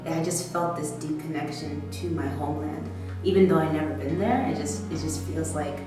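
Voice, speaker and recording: Low-voiced chatty woman actor, very echoey. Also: moderate pace. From United States. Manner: animated, chatty, laughs easily. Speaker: stage actor. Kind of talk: behind-the-scenes interview. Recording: very echoey room, distant mic, music behind.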